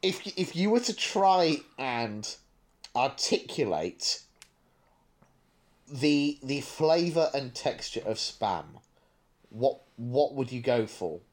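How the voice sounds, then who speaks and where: A man's voice: medium pitch, big pitch swings, slow. A man with a British accent, chatting casually as a podcast co-host. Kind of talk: casual chat.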